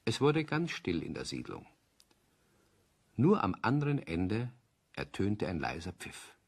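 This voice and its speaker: An actor as the narrator of a children's audio drama, telling the story in a scripted, engaged way. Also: male voice, low-pitched, huge pitch swings, a little slow, slight background noise, heavily acted. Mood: engaged storytelling.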